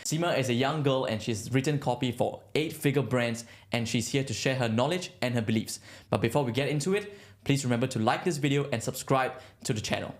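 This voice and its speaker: Man, podcast host, mid-pitched voice, upbeat, eager questions. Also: brisk. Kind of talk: casual podcast hosting.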